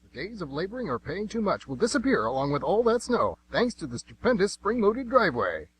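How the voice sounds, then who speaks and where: A man playing a zealous, hard-selling infomercial pitchman in a parody radio commercial. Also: big pitch swings, a little fast. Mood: excited selling. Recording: noisy home recording with hum.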